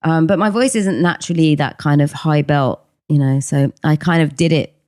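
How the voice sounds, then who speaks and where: A woman's voice: low voice, normal speed, a little mellow, no room sound, clean studio sound. A middle-aged woman, chatting as a podcast guest, hesitant with many ums. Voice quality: husky voice. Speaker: podcast guest, actress and singer. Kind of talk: casual interview chat.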